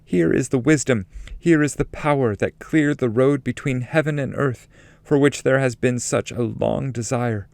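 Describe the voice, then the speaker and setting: A man's voice: medium pitch, normal speed, creaky, a little mellow, dry recording, pitched above the performer's own voice, close to an everyday voice. A man voicing Beatrice, a radiant, commanding heavenly woman guide, in an earnest, declamatory reading of epic poetry. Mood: exalted.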